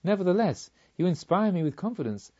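A man's voice: sits high, very animated, brisk, mellow, highs cut off, pitched above the performer's own voice. A man voicing a restless, yearning male pilgrim seeker in an audiobook, earnest and pleading. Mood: grateful, admiring.

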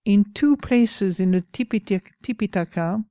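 Slow-spoken woman with a French accent. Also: mid-range voice, no room sound, studio quality. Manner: slow, pausing, gentle. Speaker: meditation teacher. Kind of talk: dharma talk.

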